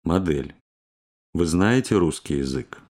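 A man reading a scripted language drill, measured and clear. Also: low-pitched, lively intonation, darkish tone. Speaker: language course speaker.

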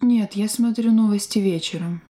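Mellow-voiced woman reading language drills. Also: medium pitch. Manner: slow, clear, carefully articulated. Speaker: language course narrator.

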